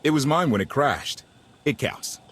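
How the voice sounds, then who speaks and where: A man's voice: medium pitch, lively intonation, unhurried, fairly bright tone. An actor playing a brash, wry male starship pilot in a sci-fi game, with clipped, informal talk. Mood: wry, grumbling.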